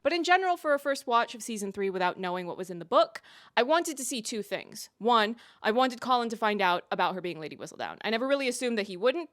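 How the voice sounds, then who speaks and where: A woman's voice: fairly high pitch, a bit bright, no room sound, studio quality. A woman giving candid, emphatic, opinionated scripted commentary to viewers as a reviewer. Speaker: video essayist and TV reviewer.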